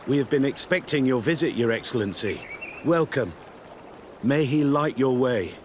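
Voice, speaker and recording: A man's voice: medium pitch, lively intonation, moderate pace, a little hiss or hum, natural voice. A man playing a dutiful guard of a holy city in a fantasy game, official and ceremonious. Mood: respectful. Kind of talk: fantasy video game acting.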